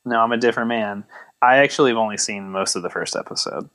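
A man's voice: lowish voice, fast, fairly bright tone. Man bantering casually on a podcast. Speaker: podcast co-host.

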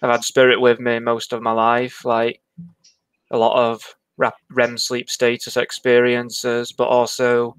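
A man, a panelist on a video call, speaking slowly and haltingly. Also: mid-range voice, monotone, fairly bright tone, smooth, no echo. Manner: slow and halting. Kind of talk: online panel discussion.

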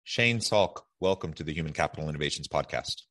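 Man, very low-pitched voice, podcast interviewer, conversational hosting. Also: lively intonation, fast talker, fairly bright tone, faint music behind. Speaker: podcast host.

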